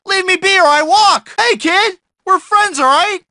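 A man's voice: extremely high pitch, measured pace, pushed and bright, no room sound, clean studio sound, heavily acted. An actor playing a self-important, gossipy mailman in a video game, with a folksy, whiny, blustering manner. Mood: exasperated. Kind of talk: video game character barks and chatter.

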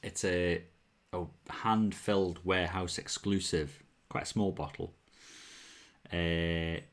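A young Welsh man with a deep, low voice hosts a relaxed home livestream. He talks easily and answers viewers' comments as they come in, speaking into a wired earphone mic in a small room. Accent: mild Welsh. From Wales. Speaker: whisky livestream host. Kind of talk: casual livestream chat. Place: small room at home, bottles on a shelf behind.

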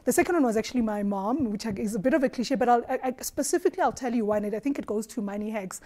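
A woman from Eswatini on a studio panel, telling stories in an animated way and laughing often, recorded on a lapel mic. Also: a little high, rapid-fire. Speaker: marketing executive panelist. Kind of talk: roundtable discussion. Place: dark studio set at a round table.

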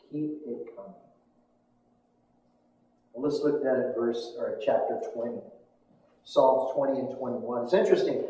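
An older American man preaches as a pastor in a loose, colloquial way, repeating phrases for emphasis. His voice is soft-edged and mellow, heard at a distance in a strongly reverberant hall. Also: a little high. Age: older, around sixties. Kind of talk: conversational sermon to a congregation. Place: church stage in a hall, indoors. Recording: echoey hall from far off, slightly dull.

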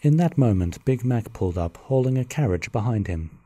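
A narrator reading a fan-made cartoon radio play in plain, even storytelling. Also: medium pitch, normal speed, over loud music.

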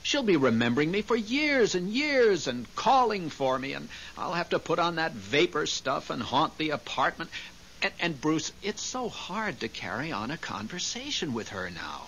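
Earnest, anxious male ghost, highish swinging pitch, hesitant radio-drama delivery, light tape hiss. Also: sing-song, moderate pace, a bit bright, rough recording. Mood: anxious, pleading. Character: earnest, anxious newly dead husband in heaven. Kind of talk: 1970s radio drama acting. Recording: hissy old radio recording.

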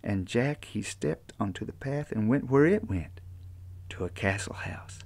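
Creaky older Appalachian man telling stories. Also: lowish voice, expressive, measured pace, darkish tone, no room sound. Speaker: storyteller reading a children's book. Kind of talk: expressive folksy storybook reading. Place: small book-lined room indoors.

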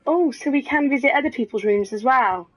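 Welsh woman streamer, London-softened accent, slightly high voice, jokey, swears freely. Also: a little fast, husky. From Wales. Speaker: let's play streamer. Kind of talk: casual gameplay commentary.

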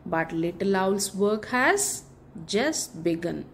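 A woman reads a bedtime picture book to children very slowly, stretching her phrases with care. Her pitch sits in a middle range and swings with lots of melody. A faint noise sits behind her voice. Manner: expressive, deliberate storytelling with drawn-out phrases. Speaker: children's story reader. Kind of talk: read-aloud bedtime picture book narration for kids.

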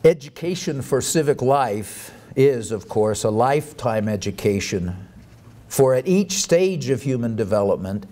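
A man's voice: mid-range voice, mellow. Middle-aged man reading a formal lecture. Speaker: academic lecturer. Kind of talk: formal lecture read aloud from a script. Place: indoor conference hall, at a lectern. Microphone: boom microphone on the lectern.

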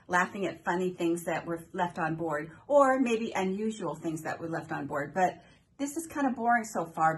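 A woman's voice: medium pitch, a little hiss or hum, rough recording. Chatty older woman vlogging from home. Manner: friendly storytelling, playful asides. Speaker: cruise vlogger host. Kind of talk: chatty countdown vlog. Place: small home room.

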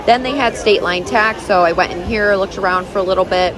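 A woman's voice: mid-range voice, a little fast, a crowd in the background, noisy background. A woman in her thirties narrating a casual vlog in a gushing, enthusiastic manner. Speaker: vlogger and horse owner.

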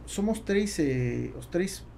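A man in his forties from Argentina with an Argentine Spanish accent, a native Spanish speaker answering conversationally in a podcast interview with halting phrasing. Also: sits high, lively intonation, creaky, warm tone, slight background noise. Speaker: entrepreneur, podcast guest. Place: small foam-padded podcast studio. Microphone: studio microphone on boom arm.

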